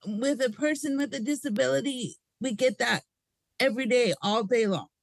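High-voiced woman radio interviewer. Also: normal speed, gravelly, no room sound, low-quality recording. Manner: affirming, asks open questions.

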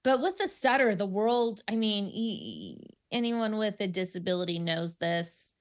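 A woman's voice: medium pitch, lively intonation, unhurried. A woman stand-up comic who stutters, talking casually in a radio interview with many restarts and easy laughter. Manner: frequent fillers and restarts, laughs easily. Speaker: stand-up comedian.

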